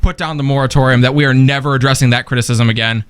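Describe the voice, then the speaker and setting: A man's voice: mid-range voice, steady tone, racing, smooth. A man co-hosting a podcast, speaking in casual, conversational banter. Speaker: podcast co-host.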